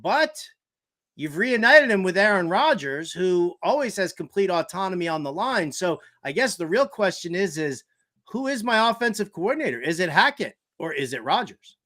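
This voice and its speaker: A man hosting a casual sports talk interview, emphatic and halting as he builds his questions. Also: sits high, sing-song, fairly bright tone.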